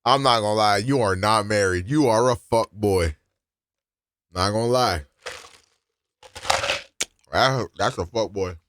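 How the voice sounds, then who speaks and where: A man's voice: on the low side, measured pace, pauses often, a bit bright. A man reacting live on stream, blunt and profane, calling out guesses as he watches.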